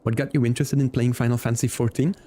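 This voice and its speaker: Mellow man chatting on a game stream. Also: mid-range voice, flat delivery, very fast. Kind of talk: casual livestream chat during gameplay. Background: game music.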